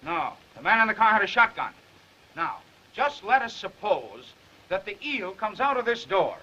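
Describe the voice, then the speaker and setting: A man's voice: high-pitched, big pitch swings, moderate pace, pushed and bright, heavily acted. An actor playing a brash, cocky young male reporter in a 1930s film. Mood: brisk, probing. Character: brash, cocky young newspaper reporter, eager and fast-talking. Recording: dull 1930s film soundtrack.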